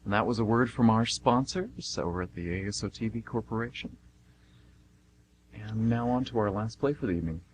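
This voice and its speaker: Male announcer-narrator, slightly deep, scripted radio drama links, faint background noise. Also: a little fast, rough recording.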